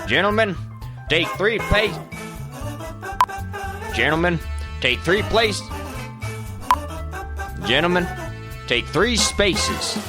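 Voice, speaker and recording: Man acting a folksy western lawman, lively and high. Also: drawn-out words, long pauses, over loud music, heavily acted. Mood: slow-witted, folksy. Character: folksy, exasperated old west lawman keeping order. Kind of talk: comedic western radio play.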